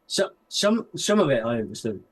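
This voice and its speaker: Brief: man, light Cardiff accent, mid-pitched, precise diction, conversational. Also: big pitch swings, moderate pace, low-quality recording. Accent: mild Cardiff. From Cardiff, Wales. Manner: carefully enunciated, clears throat. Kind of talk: casual livestream chat.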